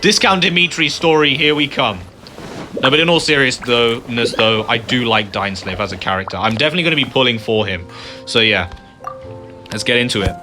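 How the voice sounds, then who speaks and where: A man's voice: mid-range voice, fairly quick, over music. A man giving casual, rambling gameplay commentary, full of fillers. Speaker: gaming youtuber.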